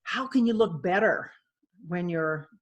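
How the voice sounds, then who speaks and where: A woman author speaking as a guest on a video call, chatty and animated, laughing often. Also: on the low side, unhurried, breathy edge. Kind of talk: video call interview, conversational.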